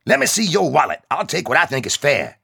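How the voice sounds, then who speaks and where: Brief: man putting on a huge, hot-tempered young soldier, shouting in a raised, strained pitch, threatening and loud. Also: huge pitch swings, brisk, vocal fry, breathy edge, no echo, clean studio sound, full character voice. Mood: furious. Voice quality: loud, bullying. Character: enraged hulking young male army private.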